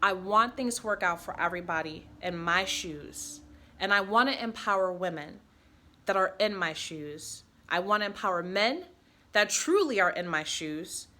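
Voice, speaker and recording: A woman's voice: lowish voice, lively intonation, normal speed, sharp tone. A woman speaking in a personal vlog, a mother talking bluntly and with passion, swearing freely. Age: adult mother. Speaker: vlogger.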